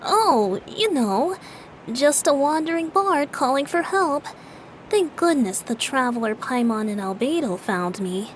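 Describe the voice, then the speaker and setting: A woman's voice: sits high, animated, unhurried, a little hiss or hum, low-quality recording. A woman voicing a playful, teasing young male bard in a video game dub, light and mischievous. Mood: playful, coy. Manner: light, breezy, mischievous, sometimes whispering.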